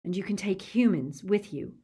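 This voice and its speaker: A woman's voice: medium pitch, lively intonation, normal speed, dark tone, breathy edge. Woman acting an urgent, desperate teenage boy.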